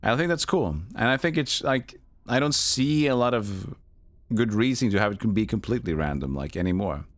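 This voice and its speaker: A man's voice: on the low side, animated, a little fast, no room sound. A man giving casual live commentary as a game streamer, musing in a rambling way.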